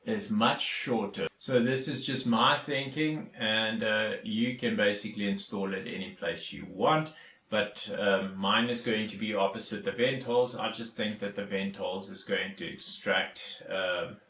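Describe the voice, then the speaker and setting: A man's voice: on the low side, measured pace, sharp tone, echoey room, far from the mic. A South African man whose first language is Afrikaans, hosting a casual DIY vlog and explaining each step with plenty of fillers. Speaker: YouTube DIY host.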